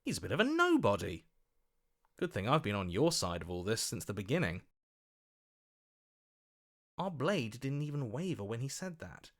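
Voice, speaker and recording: Two-faced opportunist for a video game, smarmy suck-up, really swoopy intonation. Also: male voice, mid-range voice, wildly swooping pitch, normal speed, long pauses, fairly bright tone. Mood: ingratiating. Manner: ingratiating, wheedling. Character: smarmy, fickle, self-serving male opportunist, an inner voice. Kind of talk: video game character acting.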